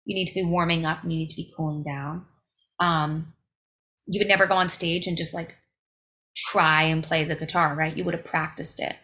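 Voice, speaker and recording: Bright-voiced young woman singer, emphatic. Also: sits low, fairly even intonation, moderate pace. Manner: earnest, emphatic advice-giving with fillers. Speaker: touring singer guest. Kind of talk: casual interview answers. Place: home recording, podcast interview.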